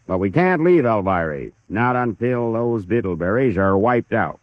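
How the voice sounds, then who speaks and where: Thin old radio sound, guy doing a grumpy hillbilly pappy, kentucky drawl, really swingy pitch. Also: animated, normal speed, no room sound, highs cut off, natural voice. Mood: stubborn, grudging. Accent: put-on rural Kentucky hillbilly. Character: cantankerous old hillbilly pappy nursing a family feud. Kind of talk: 1930s radio comedy acting. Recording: thin, band-limited 1930s radio recording.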